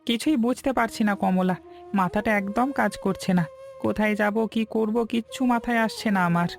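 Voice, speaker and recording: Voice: medium pitch, a little fast, vocal fry, soft background music, natural voice. A voice actor playing a poor, ashamed village father in a cartoon story, speaking in a pleading, apologetic way. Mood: worried, hesitant.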